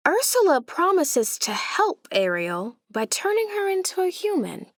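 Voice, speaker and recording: A woman narrating a children's storybook aloud in an expressive way, with deliberate, dramatic emphasis. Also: very high-pitched, no echo, clean studio sound.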